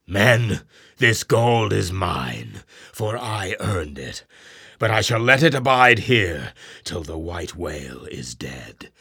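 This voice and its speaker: A man's voice: gravelly, breathy edge, dry recording, clean studio sound, full character voice. Ahab, a man voicing a grim old sea captain. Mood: solemn. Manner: stern, booming commands then hushed brooding.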